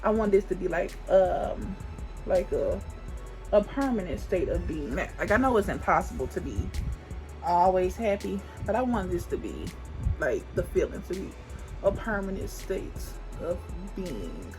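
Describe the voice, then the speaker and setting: Woman in her twenties, casual get-ready-with-me chat, lively pitch, faint music behind. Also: unhurried, low-quality recording. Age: 20s. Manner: rambling, thinks aloud with many restarts and "like". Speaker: beauty vlogger. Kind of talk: casual chit-chat while doing makeup.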